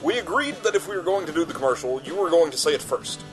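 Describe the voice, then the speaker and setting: A man's voice: fairly high pitch, very animated, quick pace, over music, low-quality recording. A man playing a pompous, self-loving small-town mayor with florid speech in a comic radio commercial.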